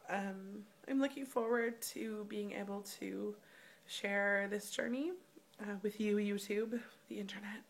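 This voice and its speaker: A woman in her thirties speaking candidly in a casual personal vlog, her voice slightly rough, with many ums. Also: mid-range voice, fairly even intonation, a little slow. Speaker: vlogger. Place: hotel room.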